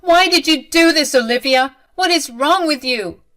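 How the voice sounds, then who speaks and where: A woman's voice: high voice, normal speed, fairly bright tone, pitched above the performer's own voice. A woman voicing a distraught young bride-to-be in a dramatized story, hurt, indignant and emotional. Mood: upset, indignant. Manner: pleading, emotional.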